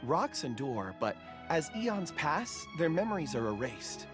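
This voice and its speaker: Mild, animated, thoughtful young-sounding fellow. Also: male voice, on the high side, unhurried. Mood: reflective. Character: mild-mannered thoughtful male stone expert, slightly hesitant. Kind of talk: video game character acting. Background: game music.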